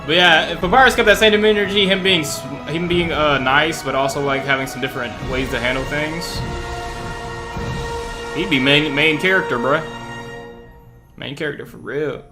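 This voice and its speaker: A man's voice: fairly high pitch, steady tone, normal speed, pauses often. A man hosting a reaction video, commenting casually in slangy, informal speech. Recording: music behind the voice.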